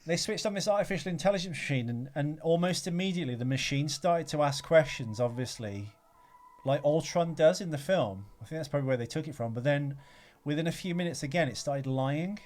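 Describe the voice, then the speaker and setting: A man's voice: on the high side, lively intonation, fairly quick, a little mellow. A man co-hosting a podcast, chatting casually about films. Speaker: podcast co-host. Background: background music.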